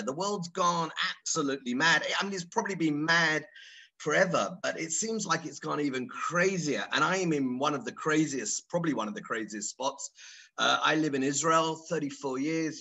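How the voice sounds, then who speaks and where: A man's voice: on the high side, bright, forceful tone, slightly rough, low-quality recording. A middle-aged man introducing himself informally on an online panel, playful and rambling, often repeating his phrases. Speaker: panelist, clown and drama therapist. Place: online video call.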